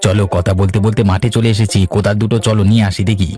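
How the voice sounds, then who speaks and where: A man's voice: on the low side, level tone, racing, mellow, close to an everyday voice. A man playing a practical village farmer, one of several brothers, in cartoon dialogue. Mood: busy, willing. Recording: music under the voice. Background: instrumental music.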